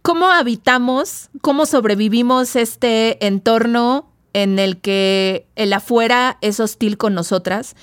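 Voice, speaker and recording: Clear-voiced Mexican woman hosting. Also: a little high, no room sound, studio quality. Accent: Mexican Spanish. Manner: thoughtful, frank, occasional swearing. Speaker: host. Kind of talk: feminist discussion podcast.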